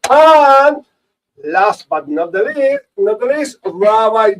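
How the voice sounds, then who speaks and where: Lively man hosting. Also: far from the mic, slight background noise, low-quality recording. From Latin America. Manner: halting, repeats words, animated pitch. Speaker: host, rabbi. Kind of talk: hosting a panel conversation.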